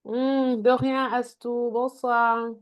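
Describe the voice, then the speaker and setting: A woman's voice: on the high side, little pitch movement, takes it slowly, clean tone. A woman leading an online product training, talking with many fillers and restarts. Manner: hesitant, many fillers and repeated words.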